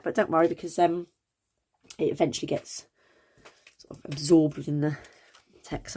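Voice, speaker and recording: A chatty woman talks through a craft project in a relaxed, rambling way, filling pauses with ums. Her voice sits a little low and sounds slightly croaky. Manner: chatty, with frequent ums and self-corrections. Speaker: crafting channel host. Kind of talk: casual craft tutorial chat.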